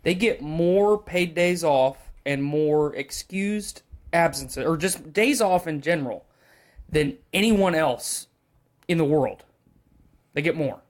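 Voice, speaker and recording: A man's voice: on the high side, animated, takes it slowly. A man in his twenties co-hosting a podcast, chatting casually in a blunt, opinionated, profane manner. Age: 20s. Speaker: podcast co-host. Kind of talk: casual chat.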